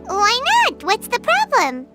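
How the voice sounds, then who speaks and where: A woman voicing a tiny, excitable, floating girl companion in a game, with a high squeaky voice and quick, bouncy delivery. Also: music in the background, full character voice. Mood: flustered. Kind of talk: video game voice acting.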